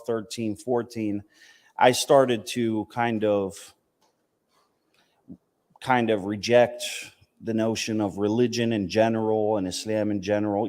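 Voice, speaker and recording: A man's voice: on the low side, darkish tone. American man speaking in a reverberant hall. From United States, Southern family from West Virginia, partly raised in Palestine. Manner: conversational, punctuated with "you know" and "right?" checks. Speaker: Islamic teacher giving a talk. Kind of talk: informal religious talk with personal testimony.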